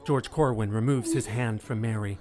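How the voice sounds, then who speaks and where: A man narrating a dark historical audio drama as a solemn, scripted storyteller. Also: on the low side, moderate pace, over music, lightly acted.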